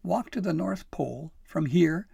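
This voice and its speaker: A man's voice: mid-range voice, moderate pace, vocal fry, darkish tone, breathy edge, no echo, clean studio sound. A man voicing a feisty young female elf in a storybook, stern, indignant and exasperated but fond underneath. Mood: exasperated fondness. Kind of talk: acted storybook dialogue.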